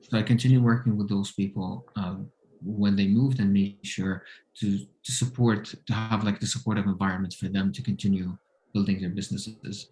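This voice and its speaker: Syrian-accented man, halting answers. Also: fairly low pitch, steady tone, mellow, low-quality recording. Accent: Syrian Arabic. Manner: halting, many fillers, non-native phrasing. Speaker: guest entrepreneur. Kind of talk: interview answers.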